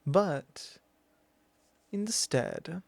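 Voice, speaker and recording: A man's voice: fairly high pitch, wildly swooping pitch, very slow, gravelly, darkish tone, dry recording, heavily acted. A man voicing an arrogant, possessive immortal vampire in an ASMR roleplay, talking down in a drawl and sometimes whispering close to the mic. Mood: mocking.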